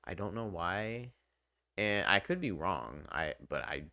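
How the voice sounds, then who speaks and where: A man's voice: low-pitched, expressive, measured pace, sharp tone, no echo, clean studio sound. A man giving casual, unscripted video game commentary in an informal, hesitant, self-deprecating way. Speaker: video game reviewer and channel host.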